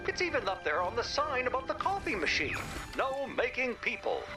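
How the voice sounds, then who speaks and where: A man's voice: very high voice, very animated, normal speed, over music. A man playing a nervous, chatty, well-meaning video game character with a wry, hesitant delivery. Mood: awkward, wry.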